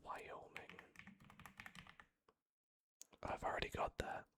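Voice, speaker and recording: An ASMR creator whispering softly and hesitantly while typing, muttering and correcting themselves as they go. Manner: soft unvoiced whisper, slow and hesitant. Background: keyboard typing.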